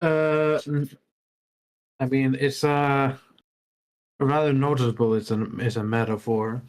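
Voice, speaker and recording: Man with halting, mid-pitched voice. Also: steady tone. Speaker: workshop participant giving feedback. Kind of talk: informal spoken critique.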